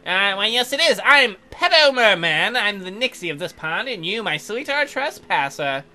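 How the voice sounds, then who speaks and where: Man doing a smug, high-swooping male nixie. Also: very animated, normal speed, pushed and bright, heavily acted. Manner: mock-gallant, teasing. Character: sly, smug flirtatious male water nixie merman.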